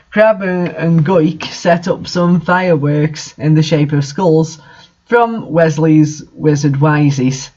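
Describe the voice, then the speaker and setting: An amateur reader delivers fan fiction and casual commentary on it. The manner is incredulous and sarcastic, with some swearing. The voice sits at an in-between pitch, on a dull, lo-fi recording. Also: darkish tone.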